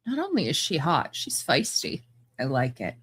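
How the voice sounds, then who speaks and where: Low-voiced blunt woman podcaster. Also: huge pitch swings, moderate pace, a bit bright, breathy edge. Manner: blunt, laughing asides, many restarts. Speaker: podcast host. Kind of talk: casual opinionated commentary.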